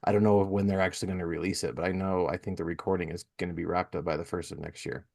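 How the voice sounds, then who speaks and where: A man's voice: sits low, level tone, quick pace, gravelly, a little mellow. A man hosting a podcast, chatting casually and giving plugs in an informal, enthusiastic way. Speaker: podcast host.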